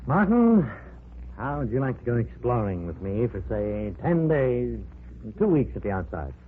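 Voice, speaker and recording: An actor playing a confident older archaeology professor who explains things in a measured, authoritative way, in a 1940s radio drama. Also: male voice, medium pitch, very animated, lightly acted. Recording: tinny old radio broadcast with faint hiss.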